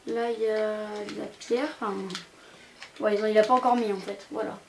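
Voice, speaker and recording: A woman's voice: mid-range voice, fairly even intonation, fairly quick, a little mellow, rough recording. A young woman giving a casual, rambling, hesitant commentary as she tours a video game. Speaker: gaming channel host. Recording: amateur home recording with background noise.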